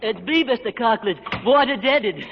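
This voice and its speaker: A man acting a gawky, eager teenage schoolboy with a squeaky, cracking voice in an old radio sitcom. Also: lively intonation, normal speed. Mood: pleading. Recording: muffled old radio, noisy, clicks.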